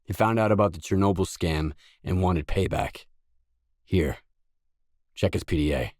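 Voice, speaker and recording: A man playing a gruff, pragmatic stalker mercenary in a video game, speaking in a terse, matter-of-fact way. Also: sits low, moderate pace, darkish tone, no room sound, clean studio sound. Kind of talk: video game dialogue acting.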